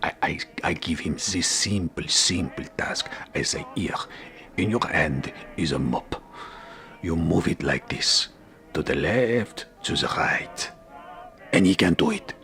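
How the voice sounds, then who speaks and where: An actor playing an anxious, secretive boss in an audio drama, stammering and dropping into hushed tones. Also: male voice, low-pitched, lively intonation, takes it slowly, faint music behind, full character voice. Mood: weary. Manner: stammering, flustered, lapsing into hushed whispers. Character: anxious, secretive boss of a janitor, devoted husband. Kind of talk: theatrical audio drama phone monologue.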